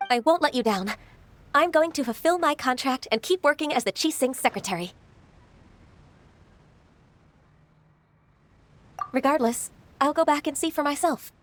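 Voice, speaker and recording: A woman playing a gentle, dutiful, ageless secretary, polite and formal, in an anime video game. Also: high-pitched, lively intonation, brisk. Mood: resolved.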